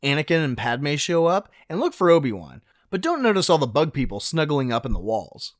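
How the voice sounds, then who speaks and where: A man's voice: fairly high pitch, very animated, a little fast, no room sound, studio quality. A man narrating a film recap casually, with a wry, sarcastic, joking delivery.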